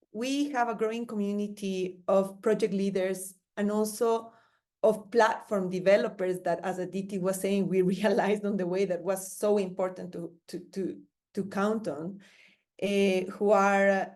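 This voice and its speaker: A woman's voice: medium pitch, a little mellow. A woman presenting at an online seminar, speaking with frequent restarts and fillers. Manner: hesitant, many restarts and fillers. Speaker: panelist.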